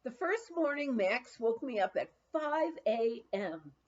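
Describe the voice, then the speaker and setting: A woman narrating a humorous memoir aloud, with expressive storytelling and deliberate phrasing. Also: on the high side, lo-fi sound. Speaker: audiobook reader.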